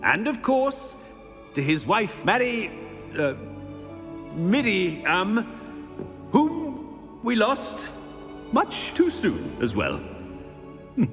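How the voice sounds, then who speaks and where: An actor playing a pompous, awkward headmaster who fumbles and clears his throat in a video game cutscene. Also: high-pitched, huge pitch swings, drawn-out words, long pauses, fairly bright tone, full character voice. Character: pompous aging male headmaster, awkward and dismissive. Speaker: headmaster giving a eulogy. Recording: echoey hall reverb, faint music score.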